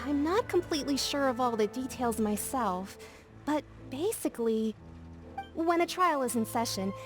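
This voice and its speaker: Game merchant: friendly, talkative craftswoman, high-pitched voice, light music underneath. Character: friendly, chatty female workshop merchant, practical and modest. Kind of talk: video game voice acting.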